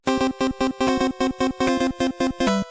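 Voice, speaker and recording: A man's voice: very high-pitched, monotone, very slow, faint music behind. Blunt sarcastic young male co-host. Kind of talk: comedic video essay and sketch banter.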